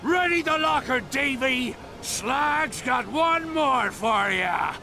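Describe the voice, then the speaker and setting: A man playing an undead pirate captain's head, a gruff, bossy video game villain with a growling voice and theatrical stage-pirate accent. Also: very high-pitched, animated, slow pace, a little hiss or hum, full character voice. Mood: taunting challenge. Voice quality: gravelly, growling. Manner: theatrical, blustering old-time pirate speech. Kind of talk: video game cartoon acting. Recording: loud music underneath.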